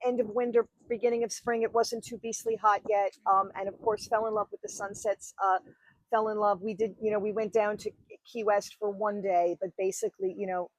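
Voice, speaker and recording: A woman's voice: mid-range voice, flat delivery, fairly quick, dry recording, poor audio. A woman in her sixties with a New York accent, telling her story as a wedding officiant on a podcast, chatty and full of fillers. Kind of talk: podcast interview.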